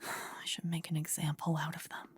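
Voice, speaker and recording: A woman playing a possessive, warlike demon queen in an intimate ASMR roleplay, speaking in a breathy whisper with measured, formal, regal phrasing. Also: deep voice, monotone, close to an everyday voice. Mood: brooding, vengeful.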